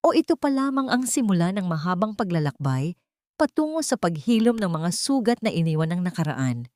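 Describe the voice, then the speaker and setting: A woman storyteller giving a solemn, expressive scripted narration of a dramatic audio story. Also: on the low side, a little fast, no room sound.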